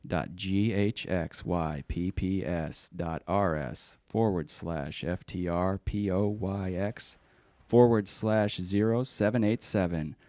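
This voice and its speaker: A man's voice: deep voice, slow, mellow, dry recording. A man voicing a pushy, oddly friendly spam salesman from the beyond, speaking stilted broken English in a comedy sketch. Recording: dull lo-fi recording.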